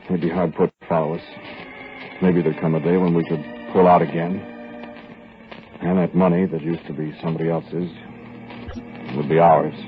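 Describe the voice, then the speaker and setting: Deep-voiced grim fugitive man, played by an actor for a 1940s radio play, measured narration, tinny broadcast with faint music underneath. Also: close to an everyday voice. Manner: low, measured first-person narration. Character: grim adult man on the run with stolen money. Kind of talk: 1940s radio drama acting.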